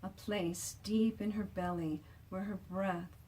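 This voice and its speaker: Female author for picture-book read-aloud: slow, deliberate, expressive, stretches key words, recorded slightly off mic. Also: medium pitch, recorded from a distance, slight background noise, low-quality recording. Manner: deliberate, drawing out sound words for emphasis. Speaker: children's author reading own book aloud.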